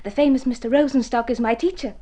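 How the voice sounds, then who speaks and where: High-voiced, earnest young pianist. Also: female voice, steady tone, quick pace, mellow. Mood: earnest, hopeful. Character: earnest, wide-eyed young female pianist, eager for success. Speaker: radio drama actress. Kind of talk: golden age radio drama acting.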